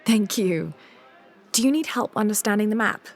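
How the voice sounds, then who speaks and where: An actress playing a composed, curious young archaeologist adventurer in a video game, speaking in a measured, polite, earnest way. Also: female voice, medium pitch, animated. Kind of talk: video game cutscene acting.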